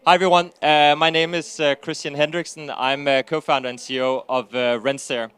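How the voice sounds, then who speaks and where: A man opening his startup pitch on stage, polite and slightly nervous. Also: little pitch movement, a little fast, fairly bright tone, smooth. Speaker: startup founder.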